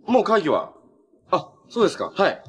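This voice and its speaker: An actor playing a cheerful, earnest, easygoing young hero in a TV drama, talking in a casual, boyish way. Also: male voice, sits high, wildly swooping pitch, moderate pace, a bit bright, exaggerated voice. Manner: casual, friendly, boyish phrasing. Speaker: actor in a TV superhero series. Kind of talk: naturalistic TV drama acting.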